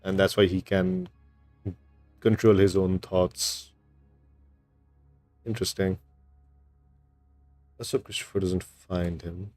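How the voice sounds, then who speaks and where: A man's voice: low-pitched, fairly even intonation, takes it slowly, long pauses, a little mellow, dry recording, soft background music. A man streaming a video game, giving casual playthrough commentary as he reacts to the story.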